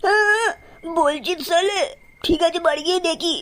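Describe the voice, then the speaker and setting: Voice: very high voice, moderate pace, fairly bright tone. An actor playing an anxious, whiny young village boy in a cartoon, fretful and full of exclamations. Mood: worried. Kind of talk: Bengali cartoon acting.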